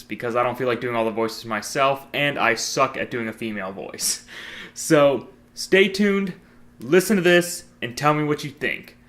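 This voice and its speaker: A man's voice: mid-range voice, a bit bright. A man presenting and narrating his own amateur audio drama, in storybook style with informal announcements.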